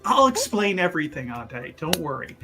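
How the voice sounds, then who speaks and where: A woman's voice: fairly low pitch, big pitch swings, moderate pace, music in the background. A woman playing a tabletop roleplaying game, teasing and playful, narrating her character and joking with the others. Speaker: player.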